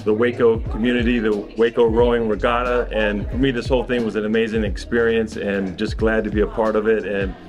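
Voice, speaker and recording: A man's voice: on the low side, flat delivery, fairly quick, hardly any pauses, a little mellow, soft background music. A man who is a basketball analyst and leadership speaker, telling stories in a motivational talk to students on a handheld mic. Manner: storytelling, conversational. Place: large conference room. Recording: roomy hall, far from camera.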